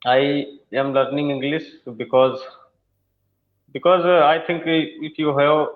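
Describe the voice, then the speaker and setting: A man in his twenties from northern India speaks English with an Indian accent; Hindi is his first language. He talks haltingly, with many fillers. The call sounds tinny and narrow, like a phone line. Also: fairly high pitch, fairly even intonation, echoey room. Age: 20s. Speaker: English learner. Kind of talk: casual video-call conversation practice. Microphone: video call.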